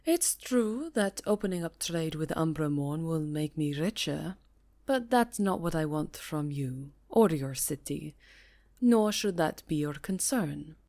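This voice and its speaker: A haughty vampire countess, acted by a woman, speaks low and unhurried in an ASMR roleplay. Her diction is poised and formal, teasing yet commanding, like one used to wealth and power. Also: expressive, mellow, no room sound. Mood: cool, pointed.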